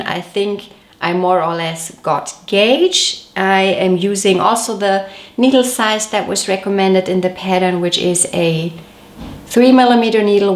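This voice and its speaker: Woman with cold-touched voice, echoey room. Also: medium pitch. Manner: chatty, detailed, gently self-correcting. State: voice a bit off, recovering from a cold. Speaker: knitting podcast host. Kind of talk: casual show-and-tell podcast.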